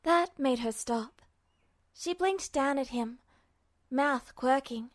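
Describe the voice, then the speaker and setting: A woman reading fiction aloud as a scripted story narrator, measured, with dramatic pauses. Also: high-pitched. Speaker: amateur audiobook reader.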